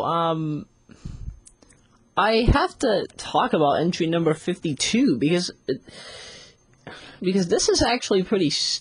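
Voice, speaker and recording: Husky, lively rambling reviewer. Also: medium pitch, no room sound. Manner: rambling, often repeats phrases to restart. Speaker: series reviewer. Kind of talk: casual spoken audio review.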